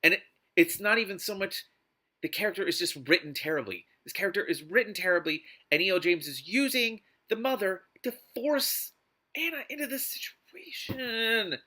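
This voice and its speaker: Bright, forceful man, exasperated and emphatic. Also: high-pitched, animated, normal speed. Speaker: reader and commentator on a book. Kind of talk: scripted reading broken by indignant commentary.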